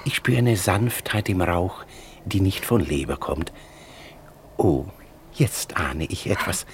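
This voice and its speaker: A deep-voiced, whimsical old father. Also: animated, takes it slowly, noisy background, exaggerated voice. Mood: delighted, eager. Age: older. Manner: theatrical, savouring, exclamatory. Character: poor old London errand porter, tender, whimsical father. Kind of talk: 1950s German radio play acting. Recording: 1950s radio production with music.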